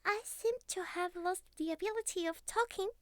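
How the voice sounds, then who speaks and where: A woman's voice: very high-pitched, moderate pace, no room sound. A woman voicing a young catgirl who was once a house cat, naive, clingy and earnest, with a childlike, hesitant, pleading way of talking in an intimate ASMR roleplay. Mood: hurt, confused. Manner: childlike, hesitant, pleading, slightly formal phrasing.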